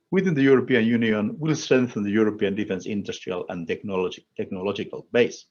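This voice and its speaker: A man from Finland with a Finnish accent delivers a formal policy speech as an official, in deliberate, emphatic short phrases. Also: medium pitch, a little mellow, slightly rough, lo-fi sound. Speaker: European Commission official speaking at a conference.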